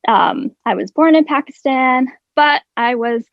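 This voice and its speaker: A woman's voice: sits high, animated, a little slow, gravelly, no echo. A young woman, guest on a podcast, speaking earnestly and thoughtfully from prepared notes, with frequent "like" and restarts. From Ireland. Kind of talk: prepared personal talk. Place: podcast recording space. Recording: dry, dull lo-fi podcast audio.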